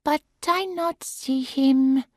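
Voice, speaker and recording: Soft-spoken young carer, halting broken English, higher voice, read by a woman. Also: no echo. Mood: apologetic. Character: patient young female carer, gentle and polite.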